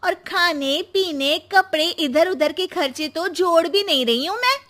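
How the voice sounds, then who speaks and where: Woman acting very high-pitched nagging young daughter-in-law. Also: animated, unhurried, fairly bright tone, full character voice. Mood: irritated, complaining. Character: sharp-tongued, complaining young daughter-in-law in a family quarrel. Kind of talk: dramatised Hindi moral cartoon story.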